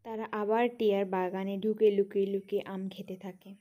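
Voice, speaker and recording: A woman's voice: medium pitch, flat delivery, brisk, mellow. A woman reading scripted narration for a children's cartoon story.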